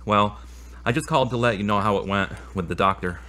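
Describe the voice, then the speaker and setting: A man's voice: fairly low pitch, fairly quick, bright, forceful tone. A man playing an earnest, troubled boyfriend in an audio drama, speaking in solemn, formal phrases. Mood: contrite. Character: earnest troubled boyfriend admitting his lies and anger.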